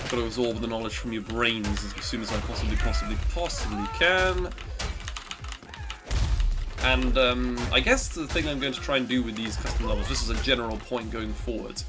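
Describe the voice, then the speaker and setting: A man with a stuffy nose from hay fever, rambling through casual, self-deprecating gameplay commentary. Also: lowish voice. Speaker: gaming YouTuber. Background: game music.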